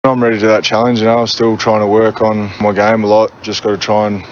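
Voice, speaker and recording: A man's voice: lowish voice, little pitch movement, fast. A young male rugby league player, giving a casual media interview. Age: young adult. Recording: dull audio, faint ambient noise.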